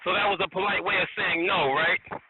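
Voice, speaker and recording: A man working as a press interviewer, asking questions in a halting, repetitive way on a conference call. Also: high-pitched, fairly even intonation, slight background noise. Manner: halting, repeats words while forming questions. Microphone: telephone conference call. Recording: muffled tinny phone line.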